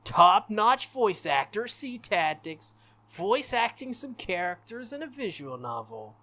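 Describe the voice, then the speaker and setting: A gaming video host reading a visual novel aloud in an informal, expressive way and breaking off for casual asides. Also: medium pitch, unhurried. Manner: informal, expressive reader who breaks off to comment. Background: background music.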